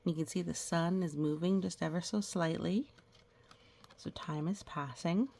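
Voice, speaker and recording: A woman reading a children's picture book aloud, with playful sound effects and casual, gentle explanatory asides. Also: on the low side, a little slow, a little hiss or hum. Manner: explains gently to young listeners.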